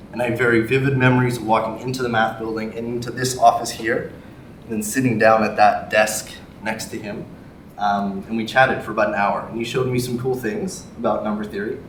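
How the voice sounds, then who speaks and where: A young man with a Canadian accent gives a public talk in a friendly, unhurried way, sprinkling in ums and yeahs. His voice sits on the low side. He is picked up from a distance in a reverberant lecture hall, with a faint hum behind him. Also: fairly bright tone. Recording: echoey hall from far off, faint noise.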